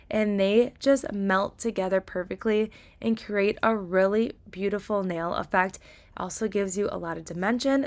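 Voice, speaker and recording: A young woman talks through her tutorial in a bubbly, chatty voice-over. Her voice is a little raspy, and her pitch sits in an ordinary middle range. She gushes freely and scatters casual fillers as she goes. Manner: enthusiastic, chatty, lots of "like" and "kinda". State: slightly raspy voice. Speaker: nail tutorial creator.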